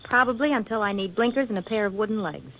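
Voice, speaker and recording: A breezy, independent career woman played by an actress in a 1940s radio drama, trading flippant wisecracks with a romantic streak underneath. Her voice sits at a middle pitch, and the old recording is dull with light noise. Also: expressive, quick pace, close to an everyday voice. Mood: wry, wistful.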